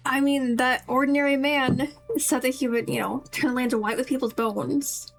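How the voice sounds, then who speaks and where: A woman's voice: sits high, steady tone, normal speed, creaky, faint music behind. A woman streaming a game, giving casual live commentary in an easy chatty style.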